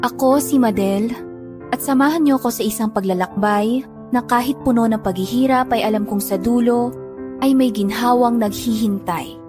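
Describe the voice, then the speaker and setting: A woman's voice: a little high, moderate pace, music in the background, natural voice. A woman playing a long-suffering mother who narrates her hard life in an earnest, sorrowful, scripted dramatic style. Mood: sorrowful, resolute.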